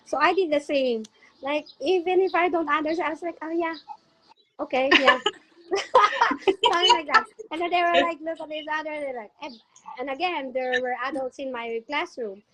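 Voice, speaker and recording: A woman from the Philippines with a Filipino accent, a native Filipino speaker, telling stories casually as an interview guest and acting out dialogue in an animated manner. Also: sits high, moderate pace, very noisy. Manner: animated, acts out quoted dialogue. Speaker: interview guest, special education teacher.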